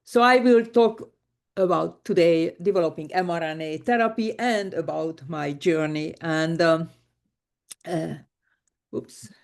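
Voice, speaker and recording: A woman's voice: on the low side, vocal fry. A woman from Hungary with a Hungarian accent, giving a keynote lecture over a video call, talking plainly with many hesitations. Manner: plain, unhurried, with frequent hesitations and soft laughs. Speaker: keynote speaker. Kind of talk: lecture given remotely.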